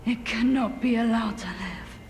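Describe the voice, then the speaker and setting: A woman's voice: a little high, slight background noise, lightly acted. An actress playing a tormented, fierce woman warrior in a video game, intense and anguished in delivery. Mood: weak, pleading. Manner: intense, anguished, halting when quiet. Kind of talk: dramatic video game acting.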